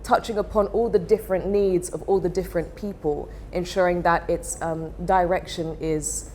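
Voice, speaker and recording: Female panelist, measured and thoughtful, slightly low pitch. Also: steady tone, darkish tone, slight background noise. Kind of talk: panel discussion.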